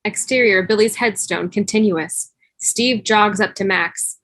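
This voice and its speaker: A woman's voice: medium pitch, measured pace, fairly bright tone, no room sound, rough recording. Dry-sounding woman narrating a script. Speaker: stage-direction narrator. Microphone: video call.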